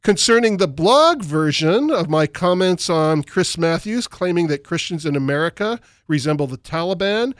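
A man's voice: on the high side, expressive, moderate pace, hardly any pauses, no echo, studio quality. A man hosting a talk radio show, delivering opinionated commentary and reading listener emails aloud in an emphatic way. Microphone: studio microphone.

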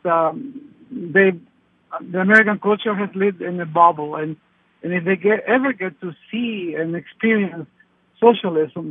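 A man from Chile calling in to a radio show on his cell phone, talking haltingly with many restarts. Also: high voice, no room sound. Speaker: caller. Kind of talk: call-in comment. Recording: tinny cell phone line.

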